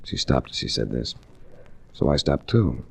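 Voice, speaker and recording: An actor playing a cool, persistent investigator in a 1940s radio drama, with a wry, probing, clipped manner. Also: male voice, a bass voice, animated, moderate pace, vocal fry, breathy edge, full character voice. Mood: cool, narrating. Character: cool, persistent hard-boiled male investigator narrating his own adventure. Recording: noisy restored 1940s radio recording.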